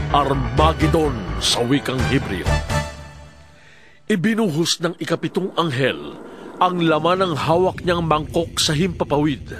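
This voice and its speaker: A man with a Filipino accent giving solemn, emphatic dramatic narration of scripture. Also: fairly high pitch. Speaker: Bible narrator.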